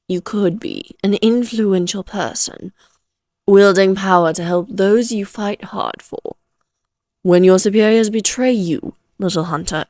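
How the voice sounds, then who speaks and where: A woman plays an ancient vampire lady in a Victorian fantasy audio drama, speaking slowly and close in a very dry space. She is formal and theatrical, taunting her listener with amused, aristocratic self-assurance. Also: mid-range voice, a little mellow, dry recording. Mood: menacing, condescending.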